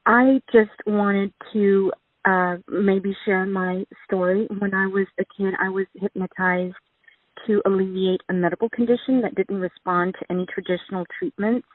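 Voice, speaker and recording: A woman's voice: mid-range voice, steady tone, moderate pace, no room sound. Woman from Texas on a tinny phone voicemail. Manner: chatty, rambling, laughs. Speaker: caller leaving a voicemail.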